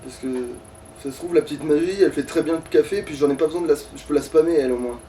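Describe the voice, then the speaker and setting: A man's voice: fairly high pitch, quick pace, warm tone, distant mic, a little hiss or hum. A man chatting casually as he plays, thinking aloud and swearing in grumbles. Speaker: let's play player. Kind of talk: casual gaming chat while playing.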